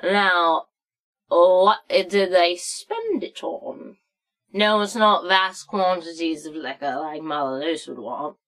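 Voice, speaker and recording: Crotchety old female storyteller, played by a woman, tipsy and theatrical, slow rambling delivery for comic story time. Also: lowish voice, fairly bright tone. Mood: wry lecturing. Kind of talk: comic storybook narration and history lesson.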